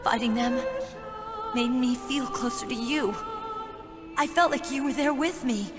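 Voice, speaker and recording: A woman's voice: a little high, unhurried, close to an everyday voice. An actress playing a tough yet tender young female soldier in a video game, with an earnest, dramatic delivery. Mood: tender, confessional. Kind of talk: video game cutscene acting. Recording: long reverb under loud music.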